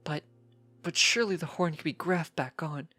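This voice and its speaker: A man's voice: fairly high pitch, normal speed, sharp tone, soft background music, voice pushed up. A man voicing an anxious, devoted mother pony with an emotional, pleading way of speaking in a story reading.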